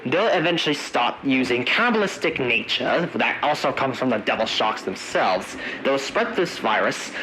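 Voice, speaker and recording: A man narrating an analog horror emergency briefing in a halting, wordy way, searching for his words. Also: medium pitch, pushed and bright, a little hiss or hum, low-quality recording.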